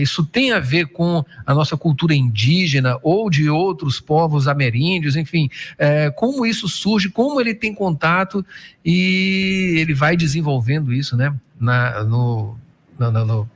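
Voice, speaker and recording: A Brazilian man hosting a radio interview, asking long questions with halting speech and repeated fillers. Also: a little high. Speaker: radio host.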